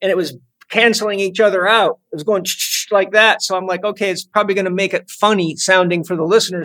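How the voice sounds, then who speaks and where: A man's voice: high voice, fairly bright tone. A middle-aged man hosting a podcast, chatting with enthusiasm and rambling into stories. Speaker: podcast host. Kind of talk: casual interview chat. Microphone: podcast microphone.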